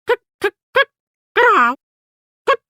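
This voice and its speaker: Woman doing a prim, chatty yellow hen. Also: slow, dry recording, studio quality. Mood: prim chatter. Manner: prim, proper, fussy and confiding. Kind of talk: audiobook character voice.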